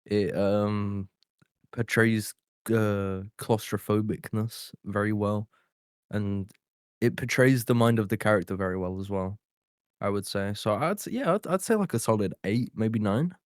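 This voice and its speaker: Man, slightly deep voice, very dry sound, casual podcast co-host, informal, tentative wording. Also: unhurried, clear, resonant tone, dry recording. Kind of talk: casual chat, film discussion.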